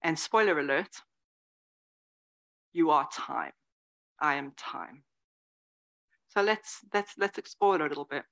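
A woman in her forties giving a lively, conversational dharma talk as a Zen teacher, dropping now and then into soft whispered asides. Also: low-pitched, a little slow, vocal fry, pauses often. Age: 40s. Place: meditation hall before a live audience.

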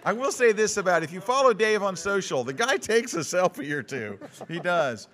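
A man hosting a live show, his voice sitting a bit high for a man. He teases his guests and tosses off quick jokes in a playful, loose way. It sounds like a live event in front of an audience. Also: very animated, fairly bright tone, some chatter behind. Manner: teasing, playful, quick asides. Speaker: show host. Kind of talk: joking live show banter.

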